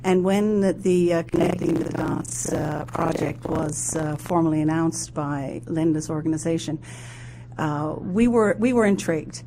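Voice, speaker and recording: A low-voiced woman speaks into a handheld mic at a conference. She starts hesitantly, with plenty of fillers and restarts. A heavy hum runs underneath the recording. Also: a little slow. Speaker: executive director. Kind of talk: conference presentation. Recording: loud background hum.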